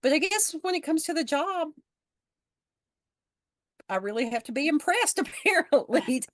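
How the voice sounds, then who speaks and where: A frank, earnest woman in her fifties speaks as a podcast guest, often restarting her words mid-thought. Her voice sits a little high and sounds dry and close, with no room echo. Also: big pitch swings, normal speed. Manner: frank, earnest, repeats words while thinking. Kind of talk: casual conversation. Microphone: video call.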